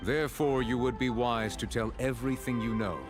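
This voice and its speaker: An actor voicing a stern, formal chief justice who lays out a case in a video game. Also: male voice, mid-range voice, steady tone, music in the background, close to an everyday voice. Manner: measured, formal, deliberate.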